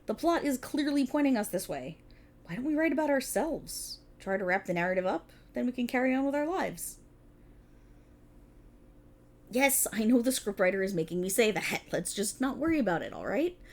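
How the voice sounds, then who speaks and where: Woman acting as female cop, prickly, exasperated, wry and bossy, lively swooping pitch, audio roleplay. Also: a little fast. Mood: urgent, persuading. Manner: wry, bossy, explanatory, emphatic. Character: prickly, exasperated female officer of a fictional protagonist police. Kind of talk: scripted ASMR roleplay, one-sided dialogue.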